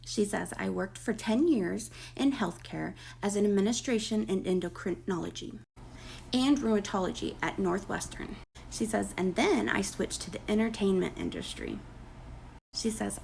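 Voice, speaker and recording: A woman's voice: medium pitch, a little mellow, slight background noise, poor audio. A woman losing her voice, reading posts aloud in a casual gossip commentary with sarcastic, mocking asides. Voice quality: creaky, gravelly. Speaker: commentary creator.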